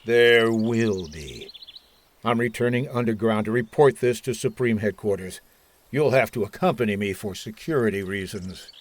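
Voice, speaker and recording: A man's voice: on the low side, normal speed, lightly acted. A man playing a belligerent army colonel in a science fiction radio drama, authoritative and used to giving clipped orders. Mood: belligerent, triumphant. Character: hawkish army colonel, commanding, suspicious and belligerent. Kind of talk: old-time radio science fiction acting.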